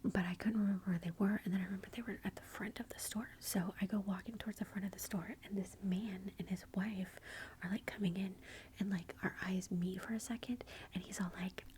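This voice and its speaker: A woman's voice: mid-range voice, steady tone, brisk, a little hiss or hum, rough recording. A woman, whispering a rambling, amused story in ASMR style. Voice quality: soft whisper. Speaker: ASMR vlogger.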